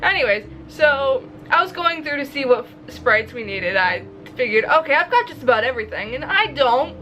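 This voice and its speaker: Bright, high-voiced woman chatting casually. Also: moderate pace, rough recording. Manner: animated, expressive, self-mocking, swears casually. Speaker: visual novel developer and vlogger. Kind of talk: casual video diary chat. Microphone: recording to camera. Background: background music.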